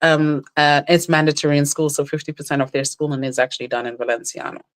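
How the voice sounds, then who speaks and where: Middle-aged woman, low register, animated conversational storyteller with big pitch jumps in quotes. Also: quick pace. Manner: animated storyteller, jumps high when quoting others. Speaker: guest. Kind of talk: casual chat.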